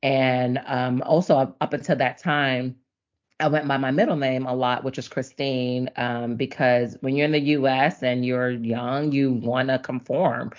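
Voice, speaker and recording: A middle-aged woman born in Nigeria and raised in the US, telling her life story as a podcast guest in a chatty, animated way with frequent ums. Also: very deep voice. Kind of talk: casual conversational storytelling. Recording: dull lo-fi audio.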